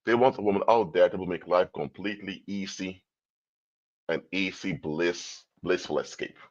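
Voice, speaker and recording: A man's voice: deep voice, steady tone, normal speed, muffled recording. A man from Curaçao, giving a livestream talk in a lecturing tone full of rhetorical questions. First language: Papiamento. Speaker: commentator. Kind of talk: livestream commentary.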